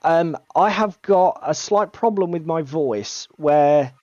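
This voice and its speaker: A man talks casually as co-host, his voice gravelly and strained. He is chatty and self-deprecating and swears freely. The sound is dry and a little dull, like a lo-fi mic. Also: a little high, slow pace, a little mellow, no room sound. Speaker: co-host of a tabletop hobby stream. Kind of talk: casual chat.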